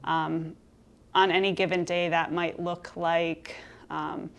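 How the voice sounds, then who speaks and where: A middle-aged woman from Minnesota answers interview questions about her work. Her voice sits a little low, and she sounds upbeat and articulate. She often pauses to think with an um. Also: little pitch movement. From Minnesota, USA. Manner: upbeat, articulate, thinks aloud with frequent ums. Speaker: health insurance executive, guest. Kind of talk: interview conversation.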